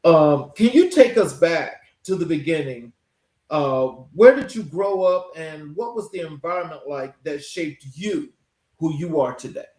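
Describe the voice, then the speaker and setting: A man with a notably high voice hosts an interview show. His phrasing is deliberate and oratorical, like a prepared introduction, and the sound is clearly roomy and echoing. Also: animated, darkish tone. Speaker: show host. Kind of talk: scripted introduction and interview hosting.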